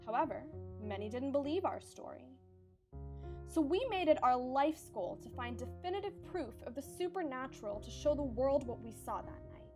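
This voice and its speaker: High-pitched woman, actress as earnest paranormal show host, dramatic ominous storytelling, radio play, music behind. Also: expressive, normal speed, dark tone. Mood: earnest, proud. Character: earnest, theatrical young woman ghost hunter hosting a paranormal show. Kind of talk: scripted narration in a radio play.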